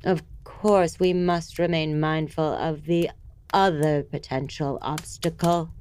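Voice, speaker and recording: A woman's voice: low-pitched, steady tone, slow pace, a little mellow, a little hiss or hum. A woman playing a haughty, short-tempered, wicked empress with commanding theatrical delivery in a fantasy audio drama. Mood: scheming. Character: imperious, irritable, wicked fairytale empress tyrant.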